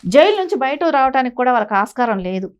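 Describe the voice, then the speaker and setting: A woman's voice: sits high, sing-song, fast. A woman giving opinionated news commentary in an emphatic, insistent way, often using rhetorical questions. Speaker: political commentator on a news talk show.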